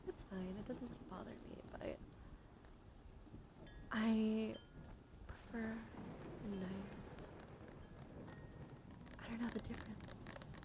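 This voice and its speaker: A woman streaming ASMR in a soft, soothing, partly whispered voice, chatting in a meandering way with gentle repetition, close to the microphone. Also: on the high side, fairly even intonation, takes it slowly, pauses often, noisy background.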